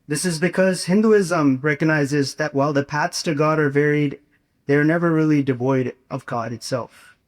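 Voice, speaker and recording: A young man delivering a prepared, inspirational speech as a student speaker, with earnest, measured, rhetorical phrasing. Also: a little high. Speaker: student speaker at a campus gathering.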